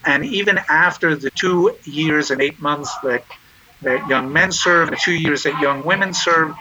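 A man's voice: on the high side, pushed and bright, slight background noise, rough recording. A man speaking as an interview guest, earnest and emphatic, often restarting his phrases. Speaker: interview guest, nonprofit chairman.

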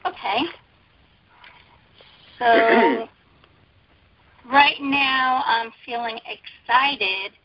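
A woman hosting an interview, talking in an earnest, personal and slightly halting way. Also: on the high side. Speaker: interview host. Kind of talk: casual interview chat. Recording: dry, dull lo-fi recording.

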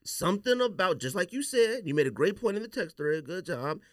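Animated man talking casually, wide pitch swings. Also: fairly quick, no room sound, studio quality. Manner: slangy, opinionated. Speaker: sneaker podcast host. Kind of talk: casual chat.

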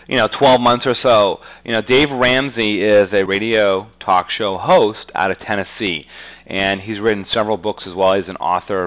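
A man with a low, smooth and resonant voice answers as a guest in a business interview. He talks conversationally, like an agent explaining his trade, and leans heavily on "you know" as he goes. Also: a bit bright, rough recording.

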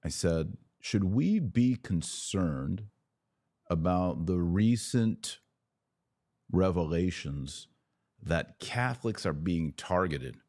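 A man's voice: sits low, animated, takes it slowly, a little mellow, dry recording. An American man hosting a podcast, giving emphatic political and religious commentary and explaining point by point. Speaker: podcast host.

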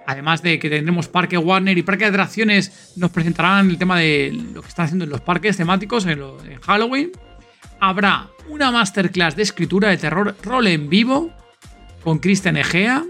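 A man's voice: high voice, expressive, normal speed, sharp tone, faint music behind. A man with an accent from Spain hosting a podcast in an informal, enthusiastic, chatty way. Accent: Spanish from Spain. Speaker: podcast host. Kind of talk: casual podcast announcements and chat.

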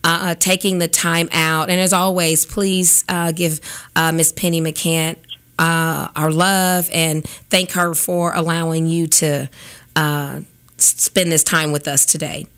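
Raspy-voiced woman radio host. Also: low voice, little pitch movement, unhurried, fairly bright tone. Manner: chatty, many fillers. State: raspy from sinus trouble. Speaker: radio cooking segment host. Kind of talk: casual radio chat. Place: radio studio.

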